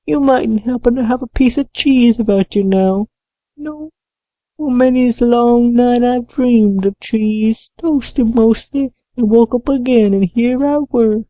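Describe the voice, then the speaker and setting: A hoarse, rusty-voiced old castaway drawls slowly and loudly, his words dragging out, as a woman reader puts him on. The voice sounds set apart from the narration, in a dry, close recording. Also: a little high, dry recording, pitched above the performer's own voice, close to an everyday voice, poor audio. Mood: rambling. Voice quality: hoarse, awkward, slow drawl. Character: ragged half-crazed old male castaway. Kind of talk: audiobook character voice.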